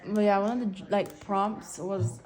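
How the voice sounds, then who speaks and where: A woman's voice: medium pitch, fairly even intonation, unhurried, a little mellow. A teenage girl answering casually in an interview, hesitant and leaning on youthful filler words. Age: teens. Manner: hesitant, youthful filler-heavy phrasing with frequent "like". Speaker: interviewee, daughter.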